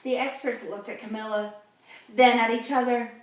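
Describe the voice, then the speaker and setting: A woman's voice: on the high side, steady tone, moderate pace. A woman reading a children's storybook aloud expressively, exclaiming and putting questions to young listeners like a teacher. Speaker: reader of a children's read-aloud. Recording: very echoey room, distant mic.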